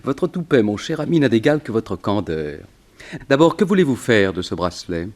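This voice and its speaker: An actor playing a cocky, urbane burglar with an ironic, theatrical delivery in a 1960s French radio serial. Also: male voice, fairly low pitch, sing-song. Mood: sly, taunting. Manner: ironic, theatrical, drawn-out emphasis. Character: cocky, urbane English burglar, mocking and sly.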